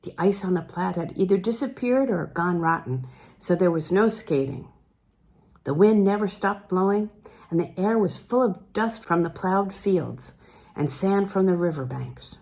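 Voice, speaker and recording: A woman narrating literary fiction aloud as an audiobook reader, measured and expressive, letting phrase endings fall away softly. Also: fairly low pitch. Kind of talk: scripted literary narration, reading a novel aloud.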